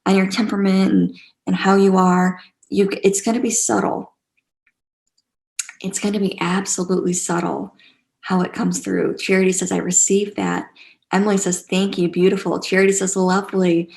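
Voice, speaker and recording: Creaky-voiced woman guiding an online class. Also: medium pitch. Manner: gentle, encouraging, repeats phrases for emphasis. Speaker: teacher leading an online spiritual class. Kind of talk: casual guided teaching to a live online group.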